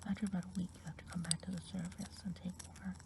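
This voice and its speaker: A woman playing a kindly good witch healer in an ASMR roleplay, speaking in a soft, soothing whisper. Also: fairly low pitch, flat delivery, fast talker, noisy background, lightly acted.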